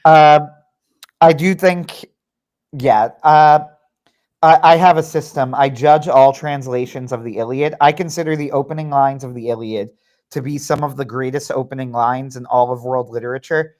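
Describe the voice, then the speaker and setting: A man's voice: medium pitch, steady tone, moderate pace, a little mellow. Wry, opinionated male livestream host. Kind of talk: casual livestream chat.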